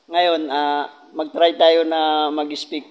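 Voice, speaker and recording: A man with a Filipino accent and Tagalog as first language, chatting casually as a vlogger, jovial and halting, often groping for English words. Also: a little high, flat delivery. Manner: jovial, giggly, self-deprecating. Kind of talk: casual vlog chat, interviewing visitors. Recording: clearly noisy amateur vlog recording.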